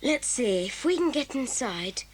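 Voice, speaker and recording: A woman playing an eager, high-voiced schoolboy. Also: sing-song, a little slow, slight background noise.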